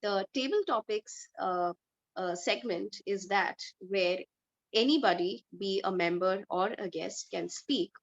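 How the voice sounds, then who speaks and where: A woman running a speaking segment at an online club meeting, in a measured way with many filler uhs. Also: medium pitch. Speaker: table topics master. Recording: dull lo-fi online call audio.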